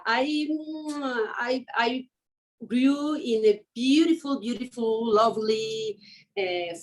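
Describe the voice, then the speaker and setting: A woman, speaking English as an as an interview guest, often pausing and restarting to find her words. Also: a little high, darkish tone, rough recording. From Mexico. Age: 50s. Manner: hesitant, searching for words with many restarts. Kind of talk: conversational interview.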